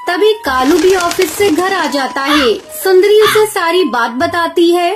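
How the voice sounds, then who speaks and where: A woman's voice: very high voice, expressive, a little slow, noisy background. A woman narrating a scripted moral story for children. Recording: music under the voice.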